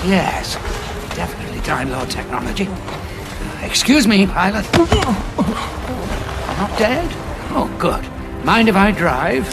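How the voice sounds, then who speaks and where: A weary, battle-hardened Time Lord, commanding and dryly witty. His voice sits very high for a man and cuts through loud battle music. He sounds like a character from a radio-style sci-fi drama. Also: sing-song, takes it slowly, vocal fry, pauses often, full character voice. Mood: wry. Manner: urgent, commanding, wry. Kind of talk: audio drama acting.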